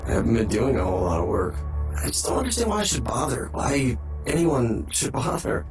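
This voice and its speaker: Despairing therapy client, hesitant, brooding, visual novel game, a bit distant, clear music underneath. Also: male voice, mid-range voice, sing-song, fairly quick. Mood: resigned. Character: despairing, hollow-feeling man in therapy. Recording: slightly distant, music behind.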